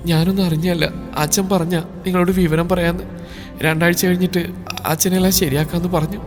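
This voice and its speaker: A man voicing a frightened young bride who speaks softly and shakily. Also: a little high, fairly even intonation, normal speed, close to an everyday voice. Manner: trembling, pleading. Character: scared tearful young bride. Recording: long reverb, faint music behind.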